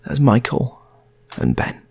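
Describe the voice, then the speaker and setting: A man's voice: takes it slowly, darkish tone. A British man improvising a casual comic story in a rambling, repetitive way.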